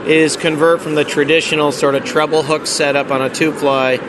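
An American man working in marketing for a fishing lodge, chatting casually in an interview, relaxed, with lots of ums and dry humor. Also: on the high side, brisk. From United States. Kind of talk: casual interview at an outdoor event. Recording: noisy outdoor street recording.

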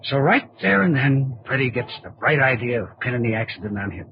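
A man's voice: mid-range voice, big pitch swings, fairly quick. An actor playing a cocky, scheming con artist and killer in an old-time radio crime drama, talking in breezy tough-guy slang. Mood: smug, scheming. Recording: muffled, noisy old radio drama recording.

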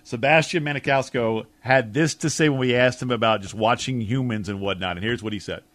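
A man co-hosting a sports talk radio show with animated, jokey banter. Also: medium pitch, fairly quick, dry recording.

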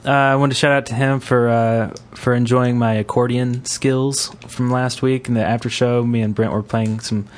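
A man hosting a podcast in a casual, chatty style, giving shout-outs to listeners. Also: medium pitch, little pitch movement, moderate pace, few pauses. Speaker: podcast host. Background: music.

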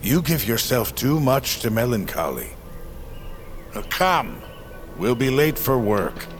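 An actor playing a gruff, kindly older workmate in a fantasy audio drama, folksy, chiding and halting. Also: male voice, mid-range voice, very animated. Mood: gruff concern. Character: gruff, kindly old goat-folk workmate, watchful and fussing. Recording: loud music behind the voice. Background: dramatic music.

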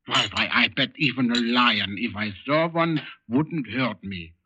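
A man's voice: medium pitch, measured pace, sharp tone, breathy edge. An actor playing a simple, rambling farmer who boasts that animals are his friends, in an old radio drama. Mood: folksy. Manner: rambling, folksy, repeats himself. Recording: dull, noisy old radio recording.

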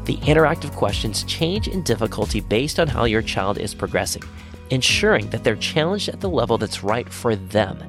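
A man's voice: mid-range voice, sing-song, moderate pace. Man reading podcast ads over guitar music. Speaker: podcast host reading sponsor spots. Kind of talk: scripted ad narration. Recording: music under the voice.

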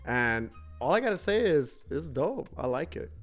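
A man's voice: fairly high pitch, very animated, normal speed, soft background music. A man whose first language is Spanish, co-hosting a casual podcast in an office, talking loosely in slang and dropping in Spanish words. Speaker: podcast co-host. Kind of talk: casual chat.

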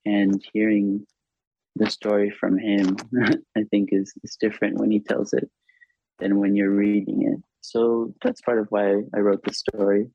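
Low gravelly-voiced man, halting and thoughtful. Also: level tone, warm tone, no echo. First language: Hebrew. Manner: halting, thoughtful, frequent restarts. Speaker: author being interviewed. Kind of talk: reflective interview talk. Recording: dull lo-fi recording.

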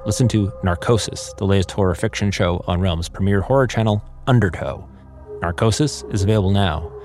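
A man's voice: low-pitched, a little fast, darkish tone. A man reading a dramatic, scripted promo as a trailer announcer. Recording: music clearly behind the voice.

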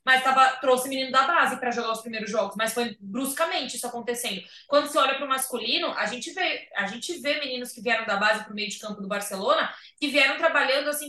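A Brazilian Portuguese-speaking woman hosting a casual sports chat, animated and rambling, often restarting her thoughts. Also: sits high. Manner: animated, rambling, thinks aloud with many restarts. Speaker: podcast host. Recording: roomy, a bit far from the mic.

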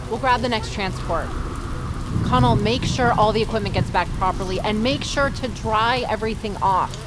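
A woman playing a brisk crew boss in an audio drama. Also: medium pitch, natural voice. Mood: brisk, bossy. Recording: rainy ambient noise behind the voice.

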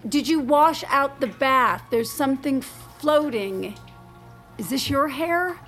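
A woman in middle age playing a restrained, uneasy wife who is gently exasperated, acted naturalistically for audio drama with a hesitant manner. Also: high voice, lively intonation, slow pace, soft background music, full character voice. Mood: irritated.

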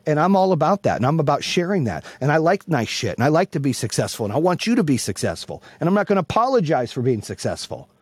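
A man giving a brash, profane, emphatic motivational monologue in a husky voice, repeating phrases for punch. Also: on the high side, very animated, fast talker, few pauses, no echo. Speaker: motivational speaker and host.

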